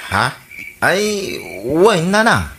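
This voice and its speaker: A man's voice: high-pitched, sing-song, extremely slow, pushed and bright, a little hiss or hum, exaggerated voice. A man with an Ilocano accent playing a sheepish but stubborn young suitor in a radio comedy drama.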